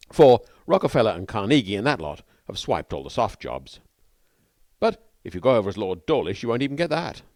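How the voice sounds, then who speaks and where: A man's voice: lowish voice, no room sound, clean studio sound. A man voicing a melancholy young New York newspaperman who talks in a wordy, dryly ironic way. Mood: wry advising.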